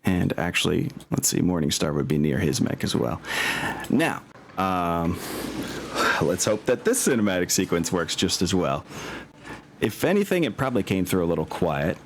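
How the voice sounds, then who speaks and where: A man running a tabletop game as game master, explaining casually and often pausing to think. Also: low voice, very animated. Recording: faint background noise.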